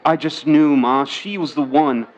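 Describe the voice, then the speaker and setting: A man playing an eager, lovestruck young son in a 1950s New York audio drama, boyish and halting in his excitement. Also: fairly high pitch, dull audio, close to an everyday voice. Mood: dreamy, smitten. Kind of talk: vintage-set audio drama acting.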